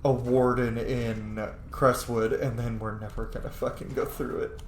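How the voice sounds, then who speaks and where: A man doing casual gameplay commentary in an excitable, conversational, sweary way. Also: medium pitch, a little slow, echoey room, a little hiss or hum. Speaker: gaming video host.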